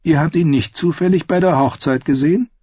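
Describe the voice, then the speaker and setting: A man voicing a calm outlaw singer in an audiobook, teasing and falsely courteous. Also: on the high side, a little fast, slightly rough, dry recording, muffled recording, pitched above the performer's own voice. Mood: mock-friendly. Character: wry outlaw singer, mockingly polite and calm. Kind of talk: audiobook character voice.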